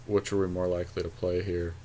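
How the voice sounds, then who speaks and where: A man's voice: low-pitched, flat delivery, fast talker, mellow, slight background noise. A man co-hosting a podcast, chatting casually and thinking aloud in short, colloquial phrases as he works through a card game. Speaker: podcast co-host. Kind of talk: casual chat while drafting a card game.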